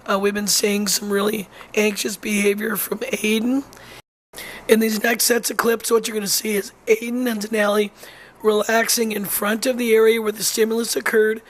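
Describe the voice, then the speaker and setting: A hoarse wolf center educator who is losing their voice, narrating a video informally with many pauses, ums and restarts. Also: mid-range voice, flat delivery, poor audio. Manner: halting, frequent ums and restarts.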